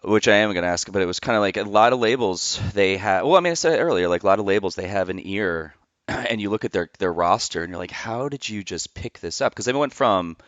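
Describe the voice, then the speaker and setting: A man's voice: lowish voice, animated, fast, fairly bright tone, no room sound, muffled recording. A man hosting a casual podcast interview, laid-back and conversational, swearing freely. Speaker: podcast host.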